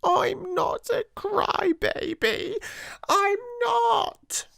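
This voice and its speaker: A man's voice: very high voice, very slow, creaky, sharp tone, no room sound, clean studio sound, voice pushed up, heavily acted. A man reading a storybook, voicing a small spoiled girl who wails and sobs in a whiny, tearful voice. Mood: wailing. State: sobbing, wailing.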